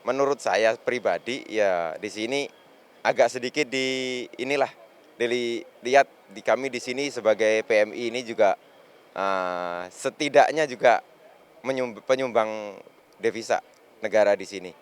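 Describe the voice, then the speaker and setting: A man who works in a factory, answering a street interview in a hesitant, halting way with many fillers. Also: medium pitch, clear, resonant tone. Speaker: factory worker.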